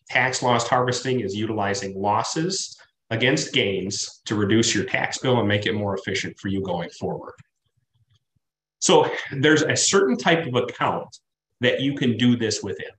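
A man's voice: medium pitch, a bit bright, a little hiss or hum. Stuffy-nosed man presenting a webinar. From Midwest US. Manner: conversational, frequent "right?" and "uh" fillers. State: stuffy from a cold. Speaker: financial planner presenting a webinar. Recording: roomy office echo.

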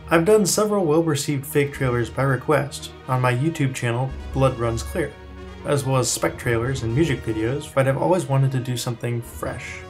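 Man narrating a scripted promo over music. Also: mid-range voice. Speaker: writer-director presenting his project. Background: soft music.

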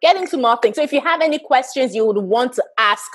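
A woman's voice: sits high, animated, fast talker, a bit bright. A young woman co-hosting a casual video-call interview, asking cheerful short questions. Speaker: co-host. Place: indoor room at home.

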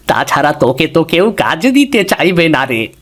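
A tired, loving old father from a cartoon fairy tale, played by a man. His voice is slightly high for a man, tender and full of worry. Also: sing-song, moderate pace, fairly bright tone. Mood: weary, worried. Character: weary, worried, caring aging father.

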